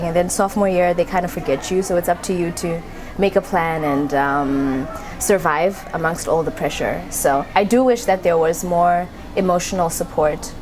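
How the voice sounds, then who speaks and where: Young college woman, lowish voice, chatty interview answer, noisy background. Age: young adult. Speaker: university student. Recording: noticeable background ambient noise.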